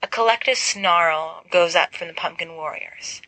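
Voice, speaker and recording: A woman reading fiction aloud as an expressive audiobook narrator. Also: lowish voice, a little slow, creaky, no room sound, poor audio. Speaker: fan fiction audiobook reader. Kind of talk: expressive scripted story narration.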